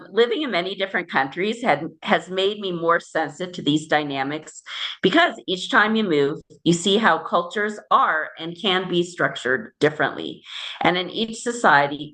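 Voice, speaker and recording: Low-voiced bright American woman. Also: poor audio. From United States. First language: English. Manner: measured, explanatory. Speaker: guest researcher. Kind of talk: interview answers.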